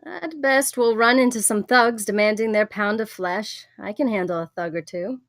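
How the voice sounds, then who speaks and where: A woman playing a brisk, wry female adventurer for hire in a fantasy script read. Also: mid-range voice, fairly bright tone, dry recording, studio quality, lightly acted. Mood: firm.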